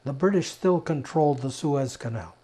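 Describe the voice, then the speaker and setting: An elderly man from Czechoslovakia giving personal testimony, telling his story deliberately in short, emphatic phrases with pauses. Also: medium pitch, animated, mellow. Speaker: interviewee, Holocaust survivor giving testimony. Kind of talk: personal oral history testimony.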